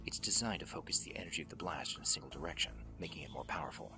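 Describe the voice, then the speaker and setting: An actor playing a gruff, world-weary special-forces soldier with a gravelly voice and a terse, sardonic deadpan in a radio drama. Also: male voice, very deep voice, very fast, over music. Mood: grim, controlled. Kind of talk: dubbed video game radio drama acting.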